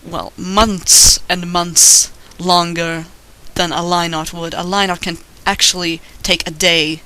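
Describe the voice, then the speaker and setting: Bright high-voiced Swedish man, rambling. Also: little pitch movement, takes it slowly, clear, resonant tone, low-quality recording. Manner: rambling, self-deprecating, swears casually. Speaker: digital artist narrating his drawing. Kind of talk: casual commentary over a drawing. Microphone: voice-over recorded while drawing.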